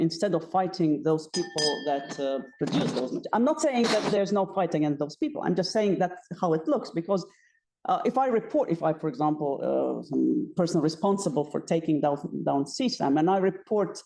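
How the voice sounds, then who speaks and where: A woman's voice: lowish voice, warm tone, rough recording. A woman on a discussion panel, sharing her view in an earnest, hesitant way and thinking aloud. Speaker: panel participant.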